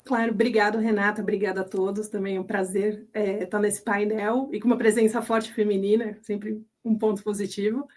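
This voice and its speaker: A woman's voice: mid-range voice, little pitch movement, a little fast, hardly any pauses, darkish tone. A woman with a Brazilian Portuguese accent giving earnest opening remarks as a panelist, sometimes correcting herself mid-sentence.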